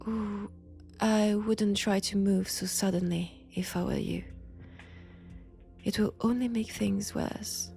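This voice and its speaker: Female vampire, acted by a woman, French accent, very slow, gravelly fry, soft taunting whispers, condescending, seductive menace, intimate audio drama. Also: mid-range voice. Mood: soothing, smug.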